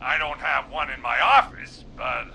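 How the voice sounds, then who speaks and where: A man voicing an excitable, nervous newspaper editor in a video game, with a fussy, agitated, blustering manner. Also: medium pitch, measured pace, creaky, a little hiss or hum, heavily acted, rough recording. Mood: agitated, scheming.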